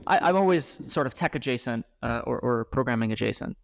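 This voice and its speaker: Man, 30s, startup founder, podcast guest, gravelly, halting with restarts, dry close recording. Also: mid-range voice, animated, no room sound. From grew up abroad, moved to the US at eleven. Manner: halting, with many restarts and fillers. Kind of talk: casual podcast interview.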